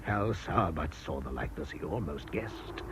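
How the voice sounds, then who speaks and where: A man's voice: a bass voice, lively intonation, normal speed. An actor playing a ruthless, desperate man with a false identity in a radio drama, taunting and theatrical. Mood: agitated, brooding. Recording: dull, noisy radio drama recording.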